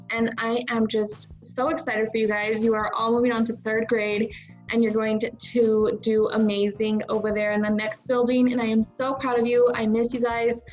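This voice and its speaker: Teacher lady sending her students a congrats message, kinda high voice, music in the back. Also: rough recording. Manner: encouraging, congratulatory. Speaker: elementary school teacher. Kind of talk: informal video message to pupils.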